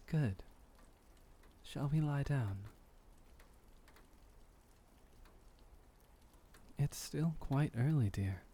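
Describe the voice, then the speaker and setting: A man playing a gentle, doting, centuries-old vampire boyfriend, speaking softly and tenderly with slightly old-fashioned formality in an intimate ASMR roleplay. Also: mid-range voice, a little hiss or hum, natural voice. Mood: tender, teasing. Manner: soft, unhurried, tender, lightly formal old-fashioned phrasing.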